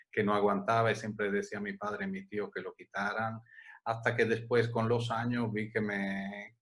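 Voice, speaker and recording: Italian-accented man, low voice, hesitant. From Italy. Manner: hesitant, many restarts, soft laughs. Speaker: interview guest, music agency director. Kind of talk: relaxed conversational interview.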